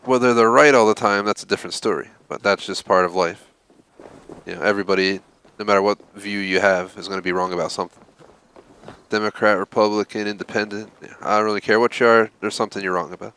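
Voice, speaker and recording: A man's voice: lowish voice, fairly even intonation, fast talker, pushed and bright, clean tone, low-quality recording. A man hosting a live game stream, chatting casually and rambling with lots of fillers. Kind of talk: casual live-stream chat while gaming.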